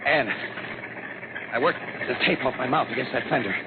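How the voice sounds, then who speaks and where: A man's voice: medium pitch, normal speed, natural voice. An actor playing a tough, resolute newspaperman in danger, speaking tersely and urgently in 1940s radio crime drama style. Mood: strained, urgent. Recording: noisy, narrow-band old radio recording.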